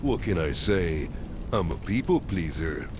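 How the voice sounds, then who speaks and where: Low-pitched male actor as a brash war veteran sergeant, swaggering, sardonic, crude, audio drama, noisy rumbling backdrop. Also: expressive, measured pace. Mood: cocky, joking. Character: cocky, crude, battle-hardened guardsman sergeant from a death world. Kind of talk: audio drama character acting.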